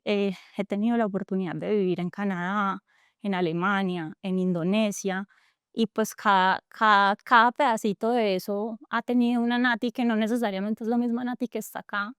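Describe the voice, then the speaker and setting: A woman's voice: mid-range voice, fairly even intonation, moderate pace, smooth, no echo, studio quality. Reflective Colombian woman with a coffee-region accent. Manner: earnest and reflective, frequent fillers and restarts. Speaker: guest, tech entrepreneur. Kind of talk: reflective conversational interview.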